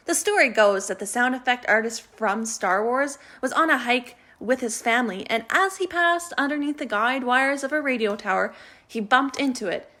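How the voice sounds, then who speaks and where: Female narrator, slightly high voice, steady scripted read for a radio play introduction. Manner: reads evenly from a script.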